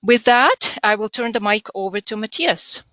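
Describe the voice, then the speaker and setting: A woman's voice: mid-range voice, animated, moderate pace, fairly bright tone, no echo. A woman moderating a webinar, giving a formal, upbeat introduction and handing over to the speaker.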